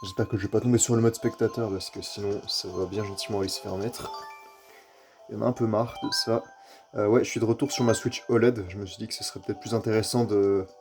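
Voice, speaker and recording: A man's voice: on the low side, steady tone, fast talker, music in the background. A man with a head cold gives rambling, casual commentary while playing a racing game, with many fillers and the odd curse. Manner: rambling and filler-heavy, mild swearing. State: stuffy-nosed with a cold. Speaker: gaming youtuber.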